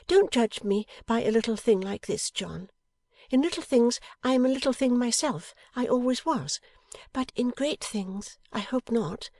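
A woman's voice: on the high side, dark tone, dry recording, studio quality, pitched above the performer's own voice. A woman voicing a pouting, affectionate young wife in a storybook, coaxing and emphatic. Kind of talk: dramatised audiobook character.

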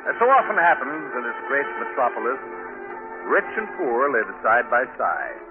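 A man narrating a 1940s radio drama in measured, descriptive scripted storytelling. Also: medium pitch, big pitch swings. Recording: tinny 1940s radio recording, music behind. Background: music.

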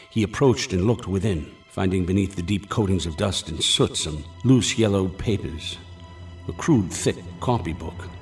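A man narrating a gothic radio drama in a formal, measured storytelling manner. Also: deep voice, close to an everyday voice. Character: solemn male narrator of a gothic horror tale. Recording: music behind the voice.

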